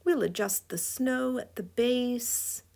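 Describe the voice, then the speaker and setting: A woman's voice: a little high, slow, creaky, dark tone. A woman with a scratchy voice giving a drawing tutorial voice-over, playful and chuckling often. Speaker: drawing instructor.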